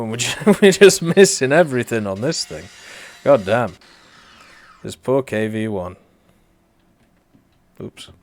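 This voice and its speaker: A young British man whose accent has softened over years in Canada, chatting casually while streaming a game and answering chat. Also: on the low side. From Britain. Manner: laid-back, rambling, answers chat. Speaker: livestreamer. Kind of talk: casual gameplay commentary.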